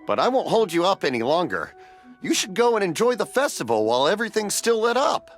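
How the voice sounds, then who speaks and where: A man's voice: high voice, very animated, brisk, faint music behind. A man playing a friendly, generous middle-aged festival stall vendor in a video game, chatty and folksy. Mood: folksy, jovial.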